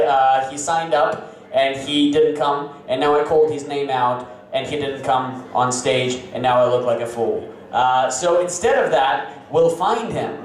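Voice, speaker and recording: A man hosting a comedy open mic, bantering with the crowd and shouting comedian introductions. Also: a little high, distant mic. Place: comedy club stage. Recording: echoey live room from afar, music behind.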